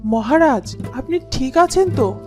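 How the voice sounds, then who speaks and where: Selfless storybook queen, meek and earnest, lightly high, animated intonation. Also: measured pace, music in the background. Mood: devoted. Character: humble, selfless, devoted elder queen. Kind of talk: Bengali fairy-tale cartoon acting.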